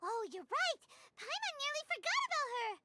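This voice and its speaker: Tiny excitable fairy sidekick, game role. Extremely high, squeaky and childlike, animated pitch, fretful chatter. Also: female voice, brisk. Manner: chatty, exclamatory, refers to self by name. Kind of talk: video game voice acting. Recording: loud music behind the voice.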